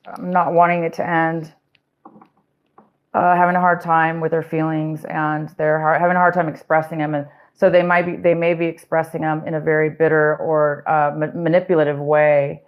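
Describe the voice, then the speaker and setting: Woman tarot reader, slightly low voice, hoarse and halting, chatty delivery to viewers. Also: lo-fi sound. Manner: halting, many fillers and restarts. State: losing her voice. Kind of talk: casual tarot reading to viewers. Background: faint music.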